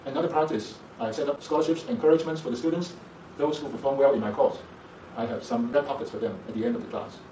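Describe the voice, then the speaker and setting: A man's voice: mid-range voice, fast talker, few pauses, dark tone. A male university lecturer whose first language is Chinese, explaining things in an interview with frequent "uh" fillers. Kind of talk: interview for a program promo. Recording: echoey room, distant mic, background noise.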